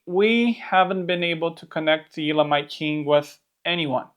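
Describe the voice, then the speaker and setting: A presenter with a Romanian accent, a native Romanian speaker, gives a scripted educational narration in English, explaining things clearly with playful asides. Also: mid-range voice. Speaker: host of a Bible history channel.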